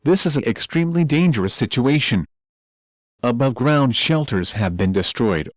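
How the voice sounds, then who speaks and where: A man's voice: mid-range voice, animated, moderate pace, no room sound. A man reading scripted weather emergency bulletins in a formal, automated-sounding delivery. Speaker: emergency alert announcer.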